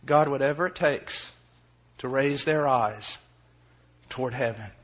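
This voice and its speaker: A man in his forties preaching a sermon with passion. He moves from quiet, low passages to shouted peaks and mixes in self-deprecating humor. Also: measured pace, slightly rough. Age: 40s. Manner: impassioned, swinging from quiet to shouting. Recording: dull lo-fi recording.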